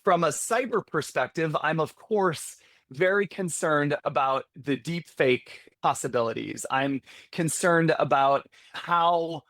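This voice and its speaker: A man's voice: a little high, slow, few pauses, fairly bright tone, no room sound. A man guest on a podcast, talking candidly and conversationally, often restarting phrases as he thinks aloud. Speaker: healthcare security executive, podcast guest. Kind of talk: conversational interview.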